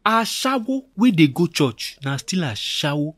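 Scornful, animated Nigerian village man, played by an actor. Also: on the high side, measured pace, no echo, exaggerated voice. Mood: indignant, judging. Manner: emphatic, scoffing, with repeated exclamations. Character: scornful, stubborn older village man, quick to judge. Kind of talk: Nigerian Pidgin radio drama acting.

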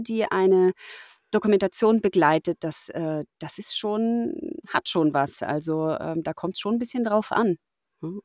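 A woman's voice: sits low, mellow, no room sound. Woman voice-over pro, casual chat, hesitant fillers, dry close sound. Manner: thoughtful, many fillers and restarts. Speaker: professional voice-over artist, podcast guest. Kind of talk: casual conversation.

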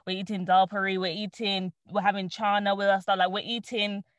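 A chatty young woman talking casually on a podcast panel. She sounds animated and laughs easily, with plenty of fillers. Her voice sits at a middle pitch and the room is dry and close. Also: brisk, no echo. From born in Trinidad, raised in the UK.

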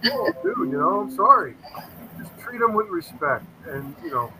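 A man's voice: high-pitched, big pitch swings, normal speed, crowd noise, low-quality recording. A man in his fifties telling stories in a casual interview, earnest and rambling, often quoting himself. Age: 50s. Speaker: paranormal investigator guest.

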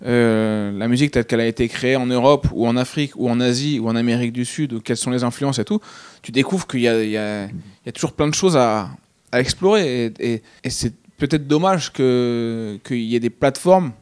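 A man's voice: medium pitch, brisk, smooth, no echo. A man, a stand-up comedian, talking casually and hesitantly, with many restarts and fillers. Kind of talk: casual conversation.

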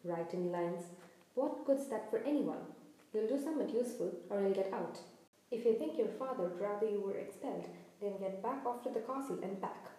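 A woman voicing a gruff, fierce but kindly giant male gamekeeper in a storybook, growling blunt commands in rustic dialect. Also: mid-range voice, moderate pace, warm tone, natural voice. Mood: stern, fierce. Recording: echoey room, distant from mic.

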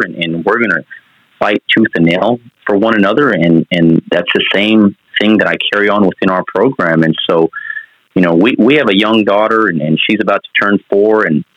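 Low-voiced earnest coach from New Mexico. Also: male voice, a bit bright, no room sound, highs cut off. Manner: earnest, many "you know" fillers. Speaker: basketball coach. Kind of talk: casual interview answers.

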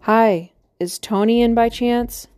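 A woman's voice: a little high, fairly even intonation, slow pace, darkish tone, smooth, dry recording, voice pushed up. A woman voicing a weary middle-aged man who jokes dryly on the phone. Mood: wry. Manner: dry, joking, evasive.

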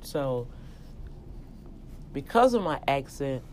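A podcast host with a Louisiana accent who talks in a slow, deliberate teaching manner during a casual monologue. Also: mid-range voice, very animated, darkish tone, a little hiss or hum. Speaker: podcast host and tax office manager.

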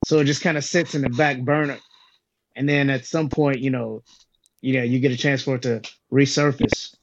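A man's voice: medium pitch, fairly even intonation, moderate pace. A man's mid-range voice comes through dull and lo-fi, with faint noise behind it. He chats casually like a comic on a podcast. He is agreeable and affirming, dropping slang as he goes. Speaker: stand-up comedian. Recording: dull lo-fi sound with background noise, clicks.

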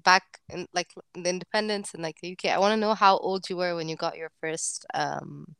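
A woman asks friendly, chatty questions, padding her speech with 'like' and 'um'. Her voice is smooth and clear, and the recording is dry and close. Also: fairly low pitch, steady tone, fairly quick, fairly bright tone, no room sound. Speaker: interviewer. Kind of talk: casual interview talk.

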